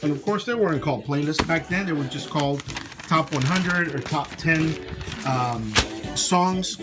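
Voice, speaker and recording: A man's voice: fairly high pitch, normal speed. A middle-aged man giving a casual show-and-tell in an informal way, thinking aloud as he goes. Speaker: vlog host showing a personal tape collection. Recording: music playing behind the voice.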